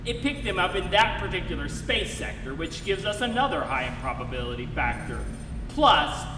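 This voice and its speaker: A man playing a cocky, excitable space rogue in a live stage radio play, with a swaggering, breezy manner. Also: on the high side, moderate pace, noisy background. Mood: excited, puzzled. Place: live stage performance in a hall. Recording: echoey hall, distant mic, music behind.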